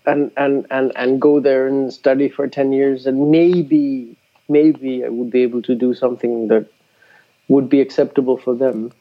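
A man's voice: medium pitch, flat delivery, moderate pace, muffled recording. A man in casual conversation about music, a teacher who often restarts and repeats words as he thinks aloud.

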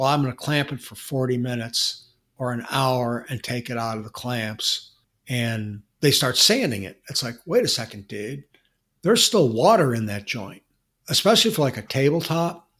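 A man co-hosting a podcast, answering a listener question in a relaxed, explanatory way with frequent restarts. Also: medium pitch, normal speed. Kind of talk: casual podcast chat.

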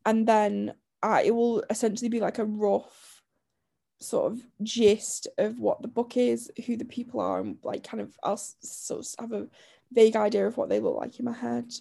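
A woman co-hosting a podcast in casual chat, her voice croaky from a lingering chest illness, talking chattily with frequent laughs and restarts. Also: fairly high pitch, expressive, normal speed, mellow. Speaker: podcast co-host.

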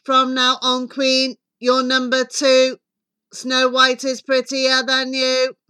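A woman as a loud, grand magic mirror. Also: high-pitched, monotone, slow pace, clear, resonant tone, no echo, clean studio sound, full character voice. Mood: blunt. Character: magic talking mirror, flattering then blunt.

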